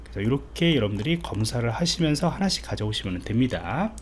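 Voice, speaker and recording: A male instructor talks through a screencast tutorial in an informal, step-by-step way. His medium-pitched voice moves up and down in a lively manner, and he often checks in with listeners. There is faint background noise with keyboard typing. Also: fast.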